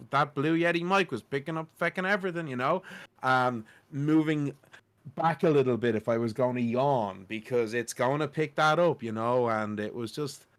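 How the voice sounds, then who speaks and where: A man with a naturally loud voice, chatting casually and ramblingly in a podcast roundtable about editing. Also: medium pitch. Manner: chatty, rambling, with self-deprecating asides. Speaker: podcast host and editor.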